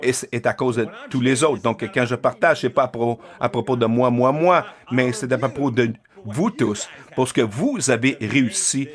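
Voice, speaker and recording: A man giving an interpreted keynote speech to parents and educators. He pauses often, with many filler sounds and restarts. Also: medium pitch. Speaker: keynote speaker.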